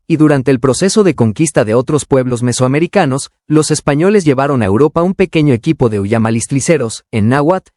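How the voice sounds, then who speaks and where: A man's voice: medium pitch, a little mellow, no room sound, studio quality. A man reading scripted educational narration as an audiobook narrator.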